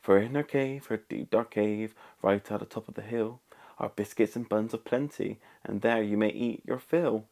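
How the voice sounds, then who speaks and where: A man reading a storybook aloud and voicing a plucky young female duck in a high, sweet, polite voice. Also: moderate pace, darkish tone, close to an everyday voice. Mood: sweet coaxing.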